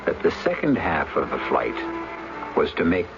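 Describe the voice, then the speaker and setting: An actor as a grave storyteller narrating a radio drama with deliberate, ominous pauses. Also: male voice, low voice, huge pitch swings, vocal fry, full character voice. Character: grave, portentous male storyteller. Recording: muffled radio recording with loud music. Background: loud music.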